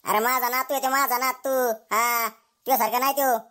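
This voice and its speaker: A woman's voice: fairly high pitch, steady tone, normal speed, fairly bright tone, lightly acted. A woman voicing a prying, dismissive, possessive old grandparent in a comedy cartoon. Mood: disapproving.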